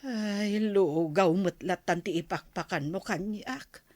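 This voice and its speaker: A woman's voice: mid-range voice, takes it slowly, darkish tone, no room sound. A woman playing a frail, forgetful elderly mother who complains plaintively, in a radio drama. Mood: complaining. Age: older.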